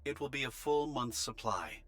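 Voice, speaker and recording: A man's voice: mid-range voice, animated, moderate pace, a bit bright, close to an everyday voice. A man playing a businesslike military operator in a game, delivering a formal official briefing. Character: businesslike male military operator giving orders. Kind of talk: anime game voice acting.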